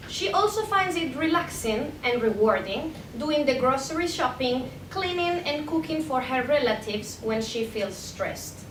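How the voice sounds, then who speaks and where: A young woman reads an academic paper aloud at a conference, carefully, with occasional stumbles and self-corrections. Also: a bit bright. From Spain. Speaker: PhD candidate presenting a paper. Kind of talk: academic conference talk, read from a prepared script. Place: indoor room at an academic conference. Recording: echoey room, distant mic, faint rumbling noise.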